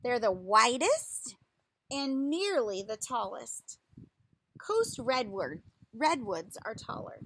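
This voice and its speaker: A woman with a high voice reads a children's book aloud at a slow pace. Her delivery is deliberate and instructive, like a teacher guiding young listeners with questions. The recording has clear noise behind her. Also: sing-song, a bit bright.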